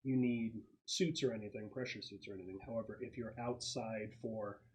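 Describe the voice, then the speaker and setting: A man's voice: lowish voice, fairly even intonation, brisk, darkish tone. A man acting as game master, explaining the scene to players in a casual, conversational way.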